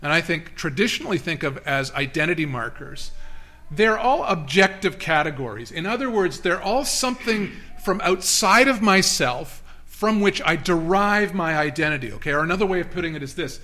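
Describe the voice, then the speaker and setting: A man's voice: a little high, lively intonation, moderate pace, sharp tone. A man giving an interactive sermon in a church, talking casually with the congregation, with many restarts and self-deprecating asides. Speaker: guest preacher. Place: church sanctuary.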